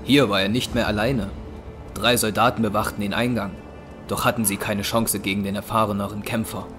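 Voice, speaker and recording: Low-voiced male storyteller over music. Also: little pitch movement, fairly quick. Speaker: narrator. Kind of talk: dramatic scripted story narration.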